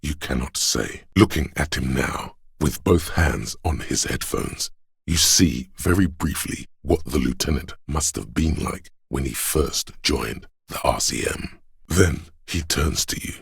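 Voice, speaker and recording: A man playing a solemn inner voice that narrates a police video game in a measured, literary way, deep and gravelly. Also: no echo, clean studio sound, full character voice. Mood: grave, watchful. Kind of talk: literary second-person scripted narration.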